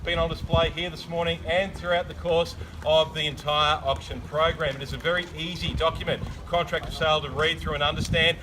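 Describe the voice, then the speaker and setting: An Australian man working as an auctioneer, delivering a loud, projecting, formal sales spiel outdoors on a suburban street. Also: fairly high pitch, noisy background.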